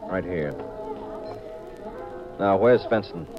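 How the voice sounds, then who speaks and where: A cool, wry private sleuth in a fifties radio mystery. His voice is low and unruffled, heard on a muffled vintage broadcast recording. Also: slow. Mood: dry, probing. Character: cool, determined, wry male amateur detective husband. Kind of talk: 1950s radio drama acting. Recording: dull 1950s radio recording with music.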